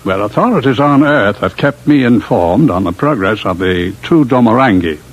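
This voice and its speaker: Casting: a courtly, hesitant senior diplomat, husky and animated, vintage sci-fi radio play. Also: male voice, on the low side, moderate pace. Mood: smooth, reassuring. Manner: suave, courteous, hesitant, formal. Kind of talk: 1950s radio drama acting. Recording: muffled vintage radio broadcast.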